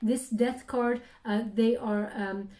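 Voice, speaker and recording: Soft-spoken woman reading tarot, echoey. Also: on the high side, steady tone, slow, distant mic. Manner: soft, hesitant, many fillers, addresses listener warmly. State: losing her voice, clearing throat. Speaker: tarot reader.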